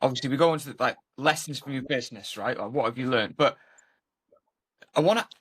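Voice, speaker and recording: A man co-hosting a podcast, asking a long question in a halting way, rephrasing as he goes. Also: medium pitch, a bit bright, breathy edge. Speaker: podcast co-host. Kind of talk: interview questions.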